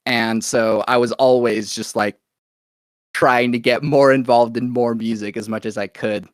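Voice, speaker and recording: A man with an American accent telling his own story in a casual, rambling interview style, as if thinking out loud. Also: mid-range voice, fairly even intonation, a little slow, dry recording, clean studio sound. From Oklahoma, United States, born in Venezuela. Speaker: podcast guest, musician and producer.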